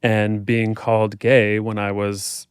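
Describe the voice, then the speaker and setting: A man with a fairly low, clean and smooth voice shares personal stories as an interview guest. His phrasing is informal and reflective, and the sound is dry, with very little room. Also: little pitch movement, slow, dry recording. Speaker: podcast guest, performer and dance instructor. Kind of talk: personal storytelling in a casual interview.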